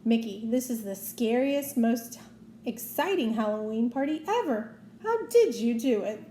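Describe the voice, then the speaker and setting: A woman's voice: on the high side, animated, measured pace, warm tone. A woman voicing a nervous, dramatic female cartoon duck from a storybook. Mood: impressed. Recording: roomy echo, faint noise.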